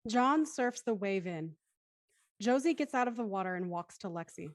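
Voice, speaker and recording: A woman's voice: medium pitch, lively intonation, normal speed, no room sound, studio quality, natural voice. A woman narrating the scene directions of a scripted drama reading.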